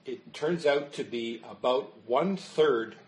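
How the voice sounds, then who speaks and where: A man's voice: medium pitch, takes it slowly, a little mellow. A man, a pastor, teaching a Bible study in an earnest, explanatory way and answering questions while losing his voice.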